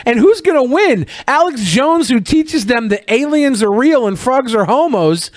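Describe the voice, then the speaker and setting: High-pitched man in profane, emphatic banter. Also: big pitch swings, moderate pace, no room sound, studio quality. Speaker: podcast co-host. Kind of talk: opinionated casual banter and commentary.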